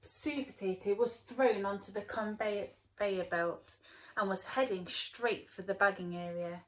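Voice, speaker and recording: A woman teacher talking to young children and reading them a story aloud in an expressive way. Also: medium pitch, measured pace, slight background noise, low-quality recording. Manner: expressive, addressing small children. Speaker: early years teacher.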